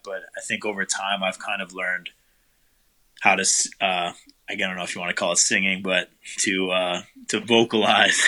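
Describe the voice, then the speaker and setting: Bright-voiced man, rambling answers. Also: low-pitched, rough recording. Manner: relaxed, rambling, many fillers. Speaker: band vocalist, guest. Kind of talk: casual interview answers.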